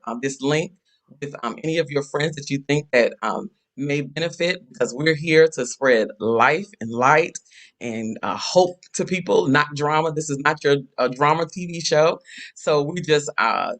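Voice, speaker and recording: A man hosting a casual interview show, speaking haltingly with many ums and uhs. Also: a little high, a bit bright. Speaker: talk show host.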